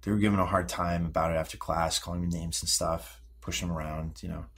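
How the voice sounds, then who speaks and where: An actor playing a defensive, moody sixteen-year-old American high school boy in an audio drama, halting and slangy. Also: level tone, vocal fry, a bit bright, slightly rough, exaggerated voice. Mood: reluctant, grumbling. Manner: halting, repeating words, slangy teenage speech. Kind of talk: naturalistic audio drama dialogue.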